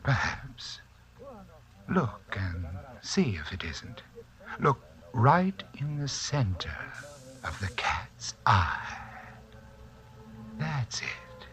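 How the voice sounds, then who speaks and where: Sinister, suave, silkily expressive uncle. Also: male voice, mid-range voice, drawn-out words, pauses often, exaggerated voice. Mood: coaxing, hypnotic. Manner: soft-spoken, formal, suave, condescending. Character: sinister, composed, polite mystic uncle back from India. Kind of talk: 1940s radio drama acting. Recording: vintage 1940s radio recording.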